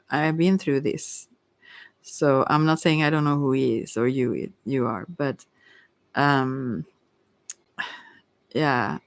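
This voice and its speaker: A woman's voice: deep voice, no echo. A middle-aged woman giving a casual, rambling tarot reading in a hesitant, candid, digressive way. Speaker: tarot reader.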